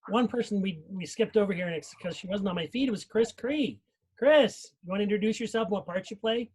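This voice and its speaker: High-pitched man hosting casually. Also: fairly quick, a little mellow, slightly rough, rough recording. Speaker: host of a cast live stream. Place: group video call.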